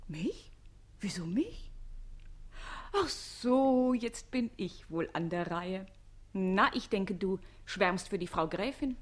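An actress playing a spirited, quick-tongued lady's maid and bride-to-be in a German radio drama, pert and expressive. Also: mid-range voice. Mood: teasing, surprised.

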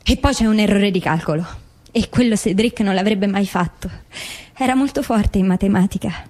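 An actress playing a mother in a documentary stage drama, methodically setting out doubts in a measured, deliberate way. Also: medium pitch, darkish tone, close to an everyday voice. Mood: firm, doubting. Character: grieving mother methodically doubting her son's farewell letter. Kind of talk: scripted theatre dialogue, documentary drama.

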